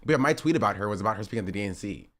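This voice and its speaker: A man's voice: fairly low pitch, lively intonation, rapid-fire. A man in his twenties streaming, rambling through casual political commentary with sarcastic asides and self-corrections. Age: 20s.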